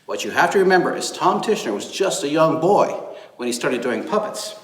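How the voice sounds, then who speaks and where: A man hosting a storytime, presenting to children and families in an expressive, emphatic, enthusiastic way. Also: on the high side, fairly quick. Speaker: storytime host. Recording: roomy echo, clear background noise.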